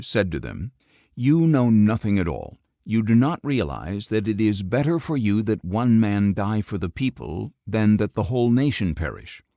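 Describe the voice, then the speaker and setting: A man narrating scripture as an audiobook, with an expressive, measured storytelling delivery. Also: lowish voice, dry recording, clean studio sound.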